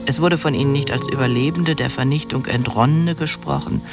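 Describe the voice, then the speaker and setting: A man voicing a reflective commentator with a gravelly edge to his mid-range voice. He speaks pointedly, with music underneath. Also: mid-range voice, brisk, natural voice. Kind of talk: documentary radio play commentary.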